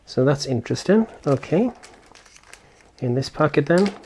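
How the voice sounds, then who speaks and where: A man's voice: on the high side, animated, normal speed, mellow, a little hiss or hum. A man giving a casual, unscripted product unboxing and setup walkthrough, thinking aloud as he goes. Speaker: video host.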